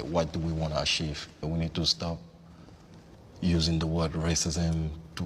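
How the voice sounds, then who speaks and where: A man from Africa with a very deep, flat voice speaks slowly and carefully, pausing on fillers. A faint noise sits behind him. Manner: measured, hesitant, many fillers. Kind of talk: casual talk.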